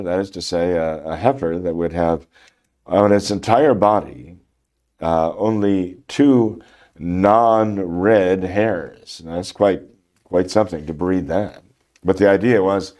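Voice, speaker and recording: Gravelly low-voiced older priest. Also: male voice, darkish tone. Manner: slow, halting, many fillers. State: mild head cold. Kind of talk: unscripted radio talk.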